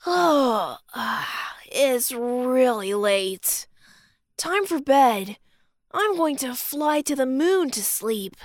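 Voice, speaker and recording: Woman voicing a squeaky, childlike little balloon boy. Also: lively intonation, very slow, no room sound, clean studio sound, full character voice. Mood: sleepy. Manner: exclamatory, bubbly, sighing and giggling. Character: cheerful childlike yellow balloon, playful boy adventurer. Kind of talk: children's audio storybook acting.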